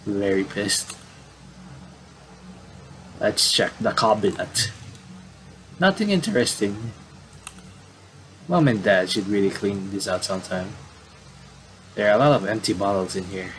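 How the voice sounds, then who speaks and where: A man doing casual game commentary, reading on-screen dialogue aloud and adding halting asides. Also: fairly low pitch, slight background noise, rough recording. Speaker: gamer doing playthrough commentary.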